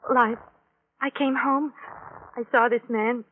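A woman playing a fragile, evasive young socialite in old radio drama, speaking haltingly and repeating herself. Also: a little high, steady tone, natural voice. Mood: shaken, evasive. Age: young adult. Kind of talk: 1940s-50s radio drama acting. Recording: tinny, noisy old radio broadcast.

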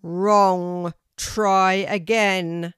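Woman putting on a booming, stern oak tree teacher. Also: smooth, no echo, clean studio sound. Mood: stern, commanding. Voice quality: deep, loud. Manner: slow, deliberate, commanding. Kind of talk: children's storybook character voice.

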